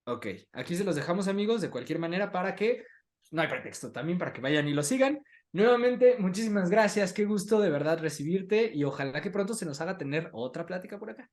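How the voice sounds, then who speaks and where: A man's voice: high voice, expressive, fairly quick, darkish tone. A man with a Mexican accent hosting a casual interview, often addressing his audience directly as friends. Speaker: host, interviewer.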